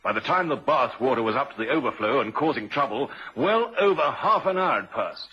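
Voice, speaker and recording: An actor playing a shrewd, unhurried police inspector in an old-time radio drama, a man with a measured, probing, quietly assured manner. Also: mid-range voice, expressive, husky, exaggerated voice. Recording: muffled vintage radio recording.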